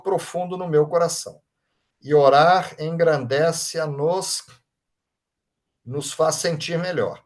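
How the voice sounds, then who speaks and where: A man with a Brazilian Portuguese accent, a Reiki master leading an online study group, reading out chat comments and thanking people by name in an informal way. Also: fairly high pitch. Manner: warm, informal, often adds tag questions like "right?".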